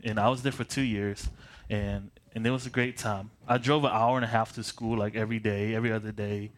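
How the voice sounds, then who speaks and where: A man's voice: on the low side, level tone, a little fast. A man in his late twenties from Michigan, leading a prayer and then introducing himself as a preacher in a casual, self-deprecating way, often restarting his phrases and chuckling as he paces around. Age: late 20s. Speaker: pastor.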